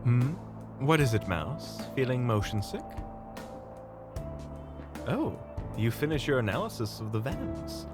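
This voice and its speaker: A man's voice: mid-range voice, very animated, unhurried, pauses often, over music, pitched above the performer's own voice. A man voicing an inquisitive, courteous mentor in a fantasy audio drama, speaking in a formal, inquiring manner. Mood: curious, surprised.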